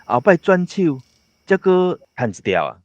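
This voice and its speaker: Figure: talkative male villager. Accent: Taiwanese. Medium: radio drama. Pitch: fairly high, lively. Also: a little slow, dry recording, dull audio. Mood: explaining. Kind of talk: conversational radio drama acting.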